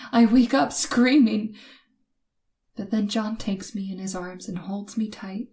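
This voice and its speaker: Woman doing scared young lady in an old radio thriller, breathy halting dramatic storytelling, mid pitch. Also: mellow. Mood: haunted relief. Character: Linda, terrified young woman narrating her night of terror. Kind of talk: old-time radio suspense acting, first-person narration.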